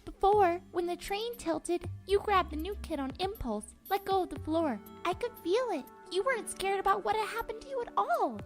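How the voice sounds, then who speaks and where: Childlike book spirit: extremely high, cute, gentle, chatty and wistful, cartoon dub with music underneath. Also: female voice, expressive, moderate pace, hardly any pauses. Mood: tender, wistful. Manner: cutesy, rambling, tender, playful slang. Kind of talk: comic dub voice acting.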